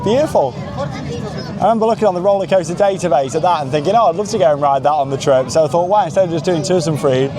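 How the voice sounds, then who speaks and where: A man vlogging casually as he walks around outdoors, chatty and enthusiastic in a rambling running commentary. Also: high-pitched. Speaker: theme park vlogger and host. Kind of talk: casual vlog narration to camera. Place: outdoors at a theme park, walking around.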